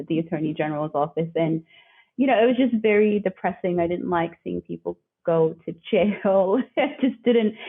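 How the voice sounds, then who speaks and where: A woman's voice: lowish voice, gravelly, breathy edge. A woman from the northeastern United States, a guest on an interview podcast, speaking in a chatty, reflective way. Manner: chatty, reflective, light laughs. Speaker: guest, career coach. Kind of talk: conversational interview. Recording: tinny phone-like remote line.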